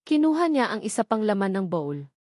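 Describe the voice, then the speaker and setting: A woman's voice: mid-range voice, very animated, brisk, a little mellow, no echo. Filipino woman narrating, dry close sound. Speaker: story narrator. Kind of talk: scripted narration of a romance story.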